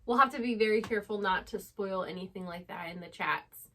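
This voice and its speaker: A woman with a voice of average pitch chats as a book channel co-host. She explains herself in a casual, conversational way. Her sentences are loose and sprinkled with "like". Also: fairly quick, fairly bright tone, slight background noise.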